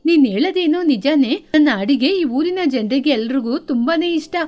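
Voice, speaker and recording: A woman voicing a worried, good-hearted mother bird in an animated children's moral story, speaking earnestly and expressively. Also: high-pitched, brisk, faint music behind.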